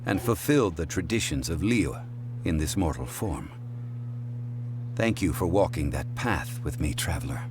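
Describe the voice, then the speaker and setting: Ancient god in mortal guise: very low, composed, eloquent game monologue with music under it. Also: male voice. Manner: measured, formal, eloquent, calm. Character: ancient male god in human form, composed, wise. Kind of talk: solemn video game monologue. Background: game soundtrack music.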